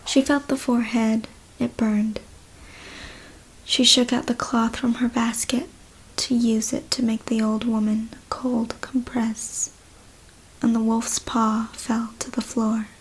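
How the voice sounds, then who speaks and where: A woman reading a story as ASMR in a soft, breathy whisper, hushed and deliberate. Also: on the high side, little pitch movement, poor audio. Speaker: ASMR reader.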